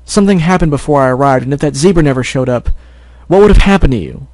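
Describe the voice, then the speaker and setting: A man voicing an exhausted adult stallion who shouts and scolds his little sister. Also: medium pitch, normal speed, no echo, natural voice, low-quality recording. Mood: scolding. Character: adult stallion, exhausted and angry big brother.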